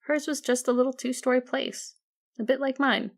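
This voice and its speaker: A woman's voice: high voice, fairly even intonation, moderate pace. A woman narrating a first-person story as an audiobook reader.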